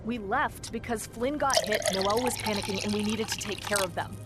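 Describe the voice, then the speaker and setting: An actress playing a combative, sharp-tongued activist in an audio drama, with a blunt and curt manner. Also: female voice, medium pitch, fairly quick, very noisy, close to an everyday voice. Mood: angry. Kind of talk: naturalistic audio drama acting.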